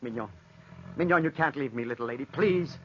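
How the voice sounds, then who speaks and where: A man's voice: medium pitch, fairly quick, lightly acted. A man acting an impassioned, lovesick soldier losing his mind in the desert, in a melodramatic 1940s radio drama style. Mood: frantic, desperate. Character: lovesick, unhinged soldier stranded in the desert with a panther. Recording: muffled, noisy 1940s radio recording.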